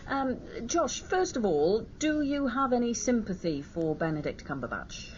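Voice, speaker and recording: Radio presenter hosting a morning discussion. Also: male voice, very high-pitched, very animated. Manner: brisk, steering questions, hesitant restarts. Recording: dull broadcast audio with faint hiss.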